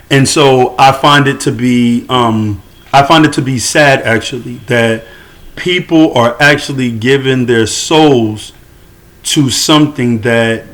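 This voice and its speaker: A man delivering a solo monologue to camera, arguing and lecturing in a questioning way, sometimes slowing and dropping quieter for emphasis. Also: mid-range voice. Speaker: religious commentator.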